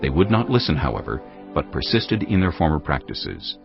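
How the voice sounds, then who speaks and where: A man narrating dramatised scripture from a script in a grave, measured, dramatic manner. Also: very deep voice, sing-song, creaky. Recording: dull audio, music underneath.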